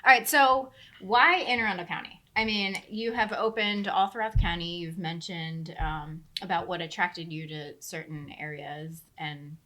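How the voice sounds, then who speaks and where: A woman's voice: fairly low pitch, steady tone, moderate pace, hardly any pauses, pushed and bright. A woman hosting an interview podcast, asking questions in an upbeat, friendly way. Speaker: podcast host. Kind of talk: casual interview chat.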